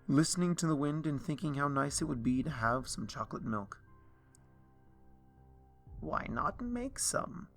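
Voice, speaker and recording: A man reading a dark fan story aloud in a slow, hushed, brooding way. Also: medium pitch, vocal fry. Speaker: fanfiction reader. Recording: soft music underneath.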